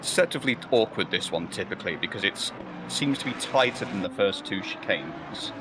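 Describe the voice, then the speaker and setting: Low-voiced man doing race commentary. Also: expressive, brisk, noisy background. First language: not German or Belgian. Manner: rambling, hesitant with many fillers and restarts. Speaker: sim racing commentator.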